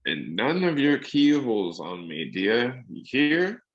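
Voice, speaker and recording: Man playing a sly, slow-spoken old pirate. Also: medium pitch, sing-song, muffled recording, voice pushed up, heavily acted. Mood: falsely friendly, menacing. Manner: rustic seafaring talk, coaxing endearments. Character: sly, wheedling, menacing old male pirate.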